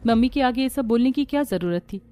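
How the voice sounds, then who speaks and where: Lady doing a young bahu in a family story, high pitched, earnest n emotional, music behind. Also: animated, quick pace, mellow, no room sound, close to an everyday voice. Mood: hurt, reproachful. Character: hurt, self-respecting young daughter-in-law.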